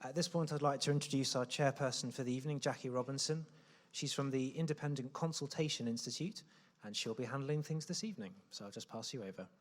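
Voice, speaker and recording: A man opening a public meeting with a brisk, polite welcome to the audience. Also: medium pitch. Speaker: meeting organiser. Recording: reverberant hall.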